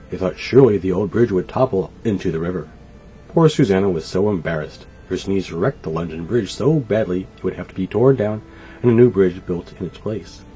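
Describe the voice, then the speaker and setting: A man reading a children's story aloud in an animated, expressive way. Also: low voice, a little hiss or hum. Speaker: storybook reader. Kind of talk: scripted children's story narration. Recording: dull lo-fi sound. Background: light music.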